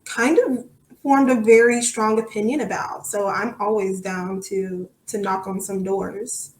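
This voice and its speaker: A woman's voice: mid-range voice, steady tone, measured pace, darkish tone. Casual woman guest in an echoey room. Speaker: podcast guest, soccer supporter. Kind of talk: casual interview chat.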